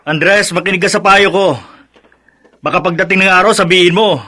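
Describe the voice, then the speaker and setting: An actor with a Filipino accent playing a well-meaning middle-aged village friend in a radio drama who gives insistent, chiding advice. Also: male voice, high-pitched, steady tone, normal speed, pushed and bright, a little hiss or hum. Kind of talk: Tagalog radio drama acting.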